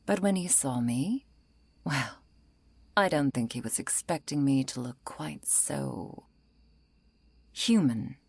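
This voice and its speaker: A woman acting a century-old female vampire in an ASMR roleplay, composed, politely menacing and wry, her soft breathy voice often falling into a whisper. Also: a bass voice, expressive, takes it slowly, pauses often, no room sound, full character voice. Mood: cool, resentful. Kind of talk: ASMR roleplay, intimate scripted monologue.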